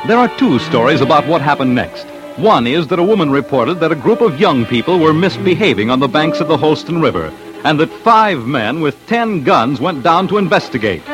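A man with a fairly high voice narrates a true-crime radio story in old-time style. His delivery is dramatic and lively, swinging in pitch as he tells the tale, with music clearly behind him. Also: rough recording.

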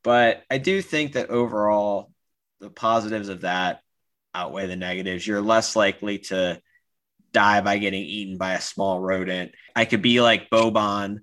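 Bright-toned low male podcast co-host. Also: normal speed. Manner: easygoing, hedging with lots of "like" and restarts. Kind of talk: casual chat.

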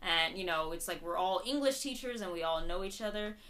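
A woman's voice: fairly low pitch, lively intonation, fairly quick, a bit bright. A young woman guest on a podcast, talking earnestly and casually, with many restarts and "you know"s. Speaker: podcast guest, protest organizer. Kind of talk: casual conversational interview.

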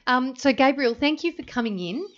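A woman's voice: sits high, animated, moderate pace, dull audio. A woman hosting a radio chat show who encourages her guest and sums up what he says. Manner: encouraging, recaps the guest's points. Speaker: radio host. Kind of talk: interview hosting.

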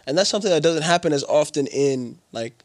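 A young man from Switzerland talking casually, in a relaxed, slangy way that draws out phrases he wants to stress. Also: a little high, no room sound. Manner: relaxed slangy talk, drawn-out emphatic phrases. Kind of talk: casual conversation.

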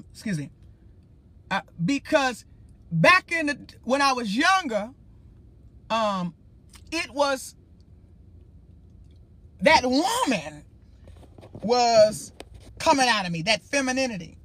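A man with a high-pitched voice hosting his own livestream as a preacher, talking to viewers in an emphatic, rambling way. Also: big pitch swings, slow, long pauses, sharp tone, dry recording. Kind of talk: casual livestream talk.

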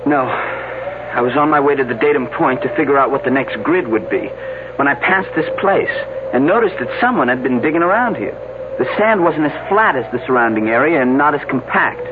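A man's voice: medium pitch, lively intonation, fairly quick, narrow, tinny audio, close to an everyday voice. A man playing a sober, dutiful young archaeology assistant in a 1970s radio drama. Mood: matter-of-fact. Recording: thin band-limited old radio, noisy.

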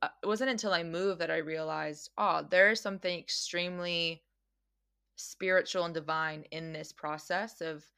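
A woman's voice: on the low side, fairly even intonation, normal speed, gravelly, bright, forceful tone, clean tone. A woman hosting a podcast, talking in a thoughtful, casual way, with frequent restarts as she works through her ideas. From Mexican American. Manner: thoughtful, many restarts and fillers. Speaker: podcast host. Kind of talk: casual conversational interview. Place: Australia.

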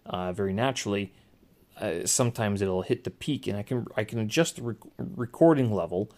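A man with a naturally loud voice hosting a casual solo podcast, hesitant and often correcting and rephrasing himself as he goes. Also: on the low side, fairly quick. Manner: hesitant, self-correcting, circling back to rephrase. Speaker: podcast host. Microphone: small handheld recorder or podcast microphone.

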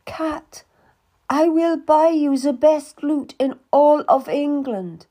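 A woman doing a young lady of rank, volatile and tearful, who pleads high and close to breaking, then drops into hushed whispers. Her voice sits well above the reader's own and stays warm and unhurried. It is a storybook character, read aloud. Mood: pleading.

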